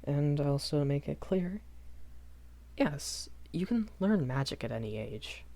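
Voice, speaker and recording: A man's voice: a little high, big pitch swings, a little slow, vocal fry, warm tone, full character voice. A young man playing a shy, kindly magic academy professor in a rambling audio roleplay, stammering and hesitant. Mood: reminiscing, hesitant. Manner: hesitant, stammering, nervous chuckles, apologetic.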